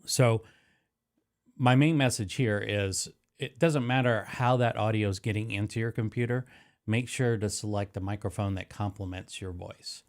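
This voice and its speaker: A man's voice: fairly low pitch, normal speed. A man with a nasal voice demonstrating live streaming audio gear in his studio, talking casually into a close dynamic microphone with many fillers and restarts. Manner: rambling, self-correcting, with frequent fillers. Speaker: live streaming tech host. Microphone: close dynamic broadcast microphone.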